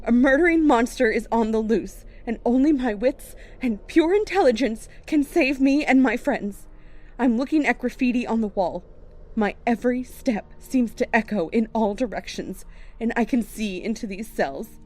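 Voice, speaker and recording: A young documentary maker acted by a woman talks in a somewhat high voice. She is chatty and self-dramatizing, a little jittery, as in a horror audio drama. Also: normal speed, darkish tone, close to an everyday voice. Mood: theatrical bravado. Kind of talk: horror audio drama acting, narrating to listeners.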